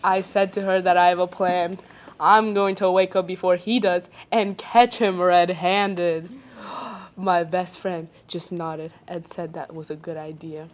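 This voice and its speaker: A woman's voice: fairly low pitch, flat delivery, slight background noise. A young adult woman, not a native English speaker, reading a ghost story aloud on a casual podcast, stumbling and stopping to joke. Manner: reads haltingly, breaks off to joke and laugh.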